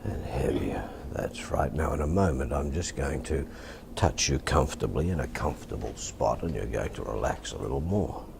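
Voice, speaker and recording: An Australian man with a deep voice, calmly and deliberately teaching and demonstrating hypnosis in a soft-spoken, repetitive way. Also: vocal fry, background noise. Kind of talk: hypnosis instruction and induction, softly spoken.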